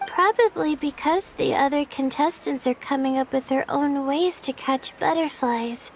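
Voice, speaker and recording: A woman's voice: high-pitched, normal speed, a little hiss or hum. A woman voicing a timid, weary teenage student girl in an anime game, hesitant and meek. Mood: hesitant, earnest.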